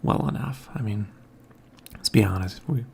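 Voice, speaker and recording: A man hosting his own podcast, talking casually and softly in a quiet, mumbling way from a home room with an overhead mic. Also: fairly low pitch, normal speed, creaky, breathy edge. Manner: quiet, soft-spoken, mumbling. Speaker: podcast host. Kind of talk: casual morning monologue. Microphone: hanging overhead mic.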